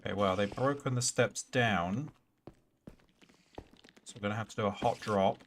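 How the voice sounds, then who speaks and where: Man, hoarse, lowish voice, offhand gameplay chatter, audible hiss and clicks behind. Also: normal speed. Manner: mutters to himself and chats to game monsters. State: hoarse, clearing his throat. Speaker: gaming livestream host. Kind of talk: casual live gameplay commentary.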